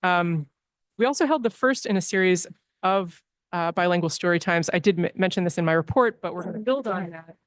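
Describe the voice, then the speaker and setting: A woman speaks in a lowish voice at a board meeting, delivering her director's report. She is earnest and keeps pausing on "um" and restarting phrases. The sound is dry and close, with no room echo. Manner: frequent ums and restarts, earnest. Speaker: library director.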